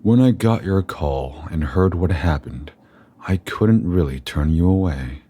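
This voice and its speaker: An actor playing a grave, ominous university scholar in a horror audio drama, speaking formally and deliberately with a theatrical air. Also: male voice, deep voice, expressive, warm tone, breathy edge, no echo, exaggerated voice. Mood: grave, guarded. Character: grave, ominous male university scholar guarding occult secrets.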